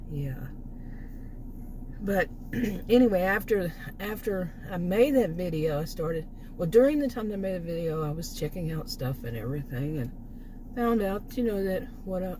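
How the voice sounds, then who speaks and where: A middle-aged woman, hoarse from losing her voice, talking in a rambling, unscripted way with many restarts and asides. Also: medium pitch, noisy background. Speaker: vlogger. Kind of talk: casual unscripted monologue.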